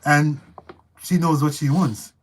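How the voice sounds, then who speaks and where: A man whose voice has gone hoarse and strained since surgery left one vocal cord not working, chatting casually on a live stream and telling stories to viewers. Talking hurts him. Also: on the high side, slow pace, a loud crowd. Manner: relaxed storytelling, addresses viewers as guys. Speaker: co-host of family channel.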